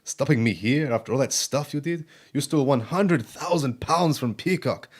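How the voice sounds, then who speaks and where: An actor playing a roguish, cheeky elf thief in an audio drama, sardonic and theatrical in manner. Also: male voice, medium pitch, moderate pace, breathy edge, natural voice. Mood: breezy, defiant.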